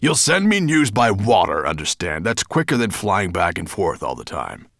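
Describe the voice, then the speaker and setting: A man voicing a huge, bad-tempered dragon master in a storybook, with a deep, booming growl and an imperious, contemptuous way of snapping. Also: very animated, slow, gravelly, breathy edge, dry recording, clean studio sound, exaggerated voice. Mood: cross.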